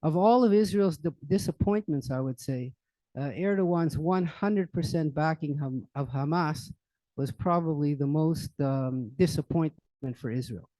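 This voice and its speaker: A man's voice: fairly high pitch, a little slow, dark tone, dry recording, low-quality recording. An older man giving an informal current-events talk to an audience at a public library, rambling with many fillers and restarts. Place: library meeting room.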